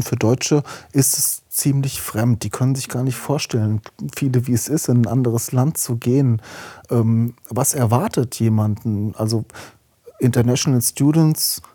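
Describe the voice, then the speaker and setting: A man hosting a regional business podcast, asking questions in a relaxed, conversational way. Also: mid-range voice, husky, no echo. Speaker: podcast host.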